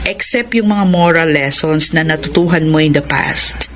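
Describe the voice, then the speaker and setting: A woman's voice: low voice, normal speed, a little hiss or hum, lightly acted. An actress playing a caring, frank wife and doting mother in a Filipino radio drama, with a Filipino accent. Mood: tender, forgiving. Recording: radio drama with loud music bed.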